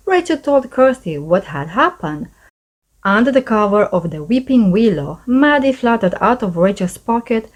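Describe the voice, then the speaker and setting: A woman reading a children's storybook aloud as scripted narration, in an expressive storyteller's manner. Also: mid-range voice, poor audio. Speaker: storybook narrator, channel host.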